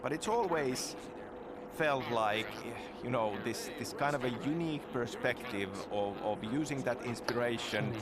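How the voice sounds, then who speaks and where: A man's voice: medium pitch, moderate pace, very noisy. A man from Finland who speaks hesitantly, restarting as he searches for words.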